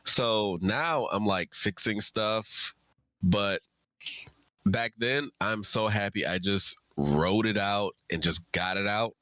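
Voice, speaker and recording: A man's voice: deep voice, fairly bright tone, no echo. A man speaking as a writer guest in a casual interview, earnest and informal.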